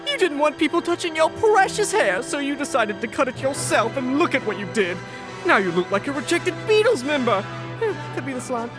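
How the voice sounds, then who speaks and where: A smug villainous aristocrat in a cartoon parody. The voice is high and very animated, drawing out each taunt theatrically, with music playing clearly behind it. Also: female voice. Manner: taunting, drawn-out theatrical delivery. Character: gloating, mocking villainous noble rival. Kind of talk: comedic fan-dub voice acting.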